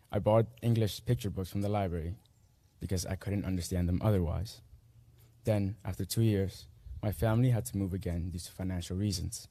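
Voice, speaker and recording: A late-teen young man whose first language is Spanish reads his graduation essay from a podium mic. His voice sits low and mellow, and the sound is close and dry. He speaks in earnest, measured phrases with small pauses. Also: steady tone, no echo. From Guatemala. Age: late teens. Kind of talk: reading a personal essay aloud at a graduation. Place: school commencement ceremony.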